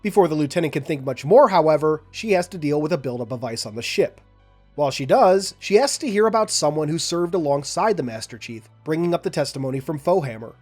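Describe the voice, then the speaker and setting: A man hosting a lore video, narrating and analyzing in a measured, explanatory way. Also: on the high side, expressive, faint music behind.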